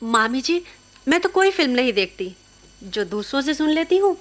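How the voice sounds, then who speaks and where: A meek young woman with a high voice in a radio drama. She is a devout, obedient niece who answers gently and earnestly. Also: big pitch swings, normal speed. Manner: gentle, obedient, soft-spoken. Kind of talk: Hindi radio play acting.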